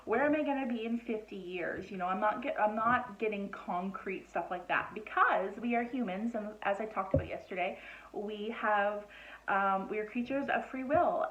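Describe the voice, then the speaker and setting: A woman's voice: mid-range voice, highs cut off. Chatty woman talking to camera, roomy sound. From Nova Scotia, Canada. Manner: chatty and relaxed, many ums and restarts. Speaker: psychic medium introducing herself. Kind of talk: casual talk to camera. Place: home.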